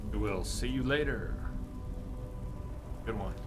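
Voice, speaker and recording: Low-voiced man streaming a game. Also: slow, music in the background. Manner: conversational, reacting to chat. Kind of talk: casual live-stream gameplay commentary. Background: game sounds.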